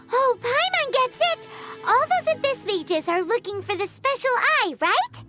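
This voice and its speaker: A woman voicing a tiny, excitable fairy companion girl in a video game, chattering eagerly and talking about herself in the third person. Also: very high-pitched, slow pace, over loud music, exaggerated voice. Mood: chattering curious.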